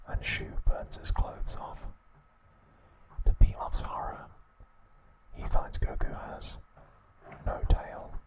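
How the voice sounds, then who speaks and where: Whispering male ASMR narrator. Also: low-quality recording. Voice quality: breathy, unvoiced whisper. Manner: slow, deliberate, soft, broken into short phrases. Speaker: ASMR narrator recapping a show.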